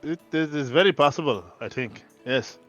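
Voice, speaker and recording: A man's voice: fairly high pitch, moderate pace, a bit bright, muffled recording, soft background music. A man playing a tabletop character, talking in an earnest, stammering way. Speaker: player.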